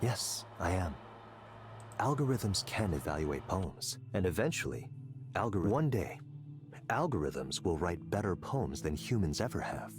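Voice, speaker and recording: Driven tech executive, cold intellectual, deep lively-pitched delivery, lecturing, game dialogue, clear music behind. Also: male voice. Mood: smug, dismissive. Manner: deliberate, lecturing, persuasive. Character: confident, coldly intellectual male tech executive, grandiose and driven. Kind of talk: visual novel voice acting.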